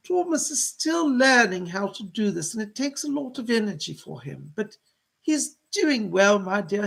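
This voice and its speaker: A gentle motherly female spirit acted out by a male medium speaks in a very creaky, husky voice. The delivery is soothing and effusive, full of genteel endearments, as in a séance. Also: high voice, heavily acted. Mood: apologetic, reassuring.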